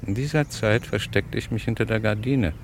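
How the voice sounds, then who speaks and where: An actor playing a cunning, smug blackmailer in a vintage German radio crime drama, with a gravelly, insistent, taunting delivery. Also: male voice, on the low side, moderate pace, lightly acted. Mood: smug, gloating. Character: cunning, smug male blackmailer and hotel thief. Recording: noisy old radio drama recording.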